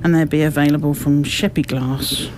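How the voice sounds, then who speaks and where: A woman's voice: deep voice, fairly even intonation, moderate pace, a little mellow, low-quality recording. A woman giving articulate, explanatory, prepared-sounding answers in a radio interview. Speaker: amateur drama group member being interviewed. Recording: noticeable background noise.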